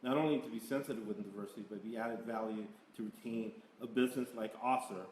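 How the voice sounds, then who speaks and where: A man's voice: on the low side, fairly even intonation, quick pace, a little mellow. Distant man moderating in an echoey hall. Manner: conversational, poses questions. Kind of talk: panel discussion. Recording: echoey room, voice from afar, faint hum.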